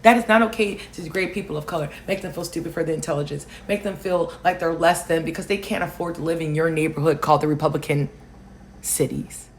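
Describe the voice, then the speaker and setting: A woman from Alaska, raised in Hawaii, hosting political commentary, talking loudly and emphatically and answering viewer comments. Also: low-pitched, fast talker, a little hiss or hum. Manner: loud, emphatic, rising to near shouting on key points.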